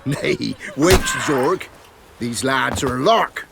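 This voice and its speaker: An actor playing an amused, mocking bandit thug in a fantasy game, talking in a coarse, rustic way. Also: male voice, medium pitch, lively intonation, slow pace, gravelly, background noise. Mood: mocking amusement. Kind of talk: fantasy video game acting.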